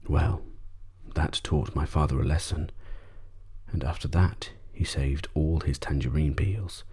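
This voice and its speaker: A man softly narrating a children's audiobook in a measured ASMR bedtime style. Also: a bass voice, breathy edge. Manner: soft, measured. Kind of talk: ASMR bedtime story reading.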